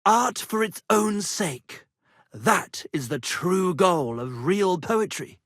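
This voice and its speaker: A pompous medieval poet and musician from a video game, speaks with florid theatrical flair. His voice is husky and swings widely in pitch, in a dry, close recording. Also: lively intonation, takes it slowly, a bit bright, no echo, exaggerated voice. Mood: lofty, lyrical. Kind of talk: theatrical game character dialogue.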